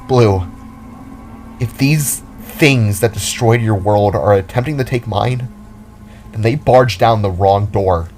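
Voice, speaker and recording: A man's voice: fairly low pitch, takes it slowly, darkish tone, faint music behind. Man voicing an earnest, determined young heroine. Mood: defiant. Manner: earnest, rambling, pleading. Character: determined, caring young female guitarist fighter.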